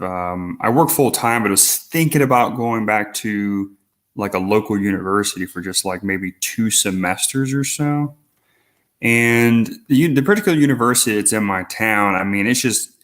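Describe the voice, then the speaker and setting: A man's voice: fairly low pitch, fairly bright tone. A man in his mid-thirties calling in to a livestream with a crude, hesitant question, padded with fillers. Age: 30s. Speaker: caller. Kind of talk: casual call-in question.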